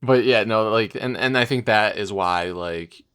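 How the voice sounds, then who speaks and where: A man co-hosting a podcast, chatting casually in halting, filler-heavy phrases. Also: lowish voice, fairly bright tone, clean tone. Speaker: podcast co-host. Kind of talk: casual chat.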